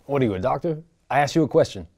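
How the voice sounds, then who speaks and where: Man doing a sick, bossy boss in his forties, gruff, demanding, coughing. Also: medium pitch, lively intonation, racing, darkish tone. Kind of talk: table read of a screenplay.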